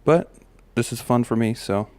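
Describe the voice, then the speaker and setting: A man, a parent, sharing life advice in a relaxed personal monologue, with a slight gravel in his voice and frequent pauses and restarts. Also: mid-range voice, dry recording. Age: adult parent of a daughter. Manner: relaxed, musing, many fillers and restarts. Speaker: podcast host.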